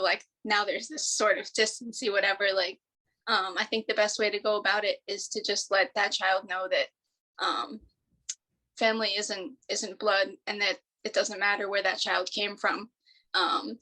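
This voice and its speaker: A woman's voice: medium pitch, bright, forceful tone, poor audio. A woman speaking casually in an interview about adoption, using "like" often. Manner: casual, frequent "like". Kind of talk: personal interview.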